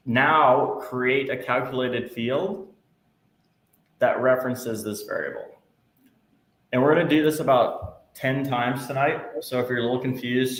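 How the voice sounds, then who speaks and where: A patient male instructor leads a live class through each click of a software tool. His voice carries a roomy echo, with a faint hiss underneath. He reassures students often. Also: medium pitch. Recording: echoey room, faint noise.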